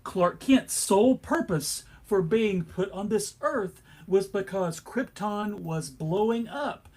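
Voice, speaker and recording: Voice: medium pitch, slow, darkish tone. A narrator reading scripted comedic narration in a wry, theatrical manner.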